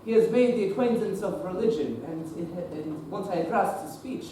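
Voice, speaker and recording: Young man as a preachy Victorian bishop, formal, a bit high, faraway noisy recording. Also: normal speed, lightly acted. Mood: earnest. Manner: sermonizing, formal. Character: pious Victorian male bishop, earnest and preachy. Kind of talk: teen stage play performance. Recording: echoey stage recording from far off, noisy.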